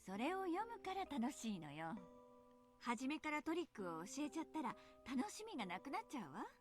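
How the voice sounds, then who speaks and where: A coy, thoughtful middle-aged mother in a visual game, high-voiced and very animated in pitch. She teases as she explains, with music underneath. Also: rapid-fire. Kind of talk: Japanese visual novel voice acting.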